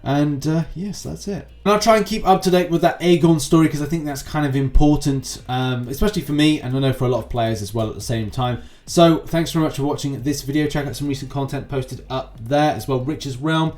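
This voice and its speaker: A man's voice: on the high side, fairly quick, hardly any pauses, a little mellow. A man hosting gaming news and commentary for viewers, punching his openers and trailing off into asides.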